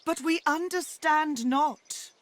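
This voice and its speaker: A woman's voice: high-pitched, slow. A woman playing a reverent, pleading elf in a fantasy game, with formal, earnest, archaic speech. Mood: earnest urging. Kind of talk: fantasy video game acting.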